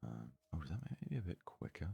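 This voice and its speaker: A man giving hushed, unhurried ASMR gaming commentary, murmuring as if thinking aloud in a husky, breathy voice. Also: very deep voice, big pitch swings, no echo. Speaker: let's play host.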